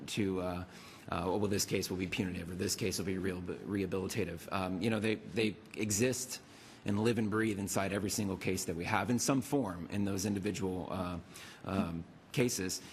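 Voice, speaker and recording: A man from a city attorney's office, hoarse from a cold, presenting to a committee with many fillers and restarts. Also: low-pitched, normal speed, slight background noise. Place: city council meeting room.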